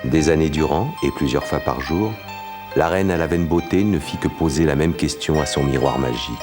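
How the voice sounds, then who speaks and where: Music plays under a fairy-tale narrator with a very deep bass voice. The scripted storybook delivery is measured and dramatic, as for an animated film. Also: male voice. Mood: storytelling.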